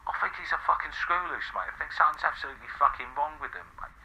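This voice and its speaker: A man's voice: on the high side, animated, very fast, a crowd in the background. A man playing an agitated, sweary caller on a comedy podcast, ending his phrases with "mate", over a tinny phone line.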